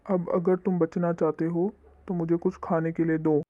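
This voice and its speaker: A woman's voice: fairly low pitch, little pitch movement, moderate pace, pitched below the performer's own voice, close to an everyday voice. A woman voicing a menacing, hungry witch who haunts a forest and makes commanding demands in a storybook cartoon. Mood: demanding. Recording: muffled, phone-like lo-fi sound.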